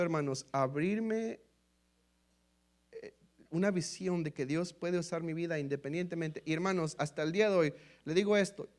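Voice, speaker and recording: Mexican man, pastor, high-pitched; lively sermon storytelling, Mexican Spanish accent, keeps saying "brothers". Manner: storytelling, repeatedly addressing listeners as brothers; lively, acts out quoted dialogue. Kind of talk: sermon testimony.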